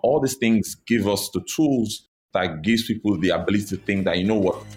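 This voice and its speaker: A man's voice: on the low side, moderate pace, a little mellow, slight background noise. A man explaining his views thoughtfully as a guest on an interview. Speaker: podcast guest. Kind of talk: interview answers.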